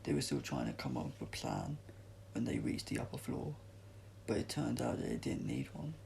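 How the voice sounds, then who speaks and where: A man with a very creaky, gravelly voice narrates a children's adventure story. He reads at a middle pitch and sometimes trips over words and corrects himself. There is faint noise behind him. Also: wildly swooping pitch, normal speed, a little mellow. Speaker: audiobook reader. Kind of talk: storybook narration read aloud.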